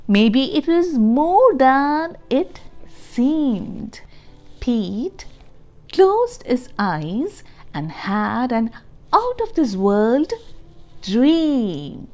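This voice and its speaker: A woman reading a picture book aloud for young children in an expressive, deliberate way, stressing key words and adding explanatory asides and questions. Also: sits high. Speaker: children's storybook reader.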